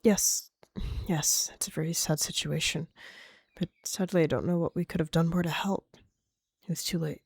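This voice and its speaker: A woman's voice: low voice, steady tone, normal speed, a little mellow, breathy edge, no room sound, studio quality. A woman voicing a composed, pragmatic and dismissive cat clan leader in a dramatic animal roleplay. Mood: calm, regretful.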